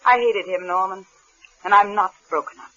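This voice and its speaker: An actress playing a cold, hard wife in a 1950s radio suspense drama, cutting and sardonic, with an American accent. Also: female voice, medium pitch, fairly even intonation, normal speed, fairly bright tone, dry recording, natural voice. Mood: bitter. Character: cold, hard, scornful wife with mocking composure. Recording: muffled old radio recording.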